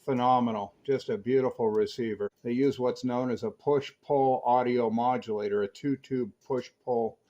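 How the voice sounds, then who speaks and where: An American man talks in an easygoing CB radio style, with a dull, band-limited sound. His pitch is middling and he explains things with a hobbyist's enthusiasm. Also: steady tone, normal speed, hardly any pauses, mellow. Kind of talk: casual CB radio chat.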